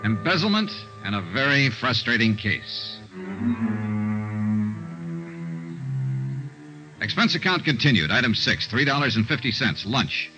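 A man's voice: medium pitch, sing-song, moderate pace, natural voice. A man acting a wry, persistent insurance investigator in a 1950s radio drama, with a clipped, businesslike delivery. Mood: dry, businesslike. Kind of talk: 1950s radio drama acting and scripted first-person narration. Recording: muffled 1950s radio recording with music.

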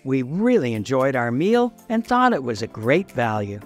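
A man's voice: medium pitch, very animated, moderate pace, faint music behind. A man narrating a travel vlog in an upbeat, descriptive tour-guide voice-over.

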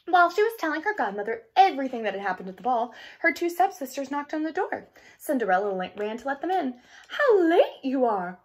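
A young woman reads a children's fairy tale aloud. Her voice sits a little high and swings up and down in an animated way. The delivery is theatrical and expressive. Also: quick pace. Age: young adult. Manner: theatrical, explanatory asides. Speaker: storybook reader. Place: small indoor room at home.

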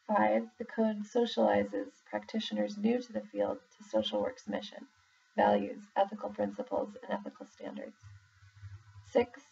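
A woman reading a formal document aloud in a measured, deliberate way, pausing between phrases. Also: medium pitch, little pitch movement, creaky, a little mellow, breathy edge. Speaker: reader, social worker in exam preparation. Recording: muffled, distant mic with faint background noise.

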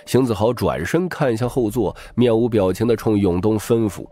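A man's voice: lowish voice, moderate pace, dark tone, faint music behind. A man narrating a novel as a dramatic scripted audiobook.

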